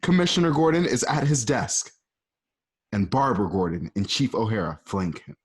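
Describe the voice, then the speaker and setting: A man's voice: on the low side, animated, moderate pace, slightly rough. A man reading the stage directions of a home-recorded radio play in a plain, matter-of-fact way. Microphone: home microphone.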